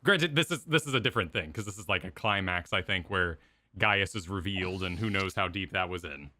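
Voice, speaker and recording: Bright, low-pitched man debating on a stream. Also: brisk. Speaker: co-host of a gaming reaction stream. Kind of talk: casual opinionated discussion.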